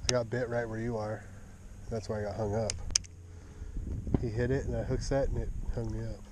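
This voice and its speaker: A man vlogging while he fishes, chatting and joking with a friend, excited and swearing now and then. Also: mid-range voice, a little fast. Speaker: fishing vlogger. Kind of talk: casual chat while fishing. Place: outdoors on a pond, from a small boat and the shore. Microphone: action camera worn on the body. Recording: outdoor action camera with background noise.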